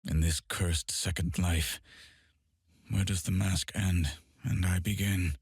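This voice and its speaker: An actor playing a brooding masked undead swordsman and demon hunter in a video game, solemn and deliberate, speaking through gritted teeth. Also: sits low, steady tone, gravelly, husky, no room sound, studio quality, heavily acted. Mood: grim, weary. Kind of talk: video game character voice lines.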